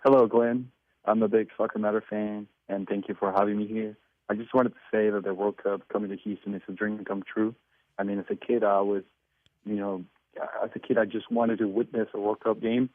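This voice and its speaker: Male caller from Venezuela, earnest, low monotone, tinny phone sound. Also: fairly quick, no room sound. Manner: earnest and excited, with restarts. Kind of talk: phone-in call. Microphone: telephone.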